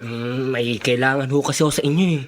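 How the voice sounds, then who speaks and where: A man's voice: medium pitch, steady tone, fast, lightly acted. An actor playing a sheepish, respectful youngest son and struggling father in a Filipino radio drama.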